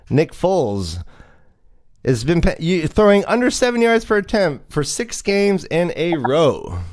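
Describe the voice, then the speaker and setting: A man's voice: medium pitch, clean tone. A man hosting a casual fantasy football livestream, chatting away and putting questions to his co-host.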